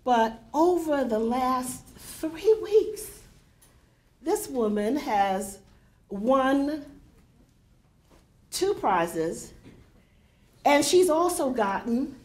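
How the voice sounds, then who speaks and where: Older woman with a big, unhurried voice. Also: fairly high pitch. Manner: warm, chatty, unhurried with frequent fillers. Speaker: poetry center director introducing a reading. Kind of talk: welcoming remarks to a live audience. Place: lecture hall at a university.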